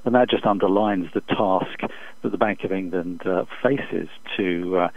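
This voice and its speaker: Man, low pitch, economist guest, formal and measured, hesitant analytical delivery, muffled dry phone recording. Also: vocal fry, no echo. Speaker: economist being interviewed as an expert guest. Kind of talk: unscripted analytical interview answers. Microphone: telephone. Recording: dull, muffled phone interview line.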